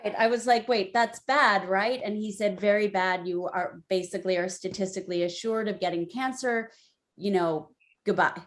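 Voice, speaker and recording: A woman's voice: medium pitch, normal speed. Candid woman telling her own story. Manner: candid, conversational, animated when quoting herself. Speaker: panelist. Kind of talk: telling a personal story in a webinar. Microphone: video call.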